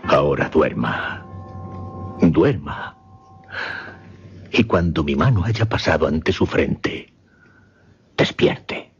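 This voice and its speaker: A sinister mesmerist with a low, commanding voice that rises and falls theatrically. He gives deliberate orders like a horror film villain, on a muffled soundtrack with music behind. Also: expressive, slightly rough, heavily acted. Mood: hypnotic, controlling. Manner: authoritative, deliberate hypnotic commands.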